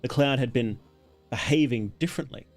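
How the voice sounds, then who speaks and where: A man narrating a horror story as a livestreamer, dramatic and solemn, in deliberate, weighted phrases. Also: medium pitch, faint music behind.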